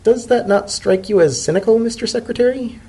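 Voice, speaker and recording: A man's voice: very high voice, a little fast, mellow, slightly rough, pitched above the performer's own voice, full character voice. A man voicing an aloof, inquisitive female ambassador in a storybook reading, with a dry, formal, probing manner.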